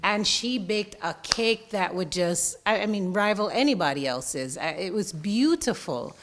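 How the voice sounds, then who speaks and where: A woman from Jamaica, a college IT teacher, answering interview questions in a reflective, unhurried way. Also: medium pitch, lively intonation. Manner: reflective, unhurried, speaks of slowing down. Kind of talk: relaxed conversational interview answers.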